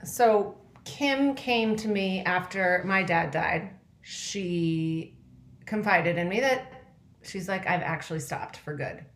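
A woman's voice: on the low side, slow pace. A woman, co-hosting a podcast and telling stories in casual chat. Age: 40s. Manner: chatty, storytelling. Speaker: podcast co-host. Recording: echoey room.

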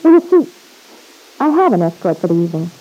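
An actress playing a breezy, wisecracking young nightclub hostess in an old-time radio serial. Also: female voice, on the low side, sing-song, fast talker, mellow. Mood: awkward, reluctant. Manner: playful, wisecracking, casual. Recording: muffled old radio broadcast recording.

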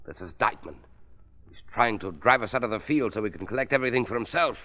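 A man playing a suave, cold-blooded crime boss in 1940s radio drama, mocking, smooth and theatrical. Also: medium pitch, animated, fast, lightly acted. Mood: impatient, menacing. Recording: muffled vintage radio recording.

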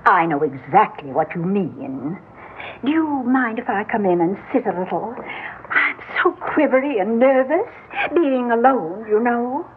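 A woman's voice: on the high side, expressive, measured pace, a little hiss or hum. An actress in an old-time radio drama playing a nosy, gushing, chatty middle-aged neighbor woman. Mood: gushing, prying. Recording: tinny, muffled vintage radio recording.

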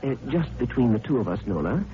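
A man's voice: medium pitch, big pitch swings, quick pace, lightly acted. A man acting as a cynical, needling newspaper rewrite man in an old radio drama, wry and insinuating. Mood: needling, suspicious. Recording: muffled vintage radio recording.

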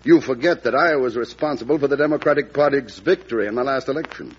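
An actor playing an ambitious, prickly vice president in an old radio drama, courtly and formal with an insinuating manner. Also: male voice, medium pitch, fast, slightly rough, close to an everyday voice. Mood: offended. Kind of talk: 1940s-50s radio drama acting. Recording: muffled, faintly noisy old radio recording.

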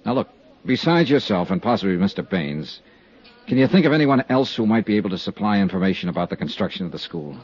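An American actor playing a tough, steady insurance investigator in a 1950s radio drama, with clipped, hard-boiled delivery. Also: male voice, fairly low pitch, fast, slightly rough. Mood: calm, probing. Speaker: lead actor and narrator. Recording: dull vintage 1950s radio recording.

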